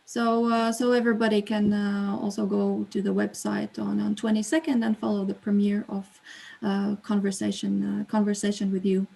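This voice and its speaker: A woman's voice: mid-range voice, little pitch movement, moderate pace, creaky, dark tone. A woman hosting an online exhibition opening who reads a prepared statement over a video call, then moderates with hesitant fillers. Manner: hesitant fillers when speaking off script. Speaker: exhibition host and curator.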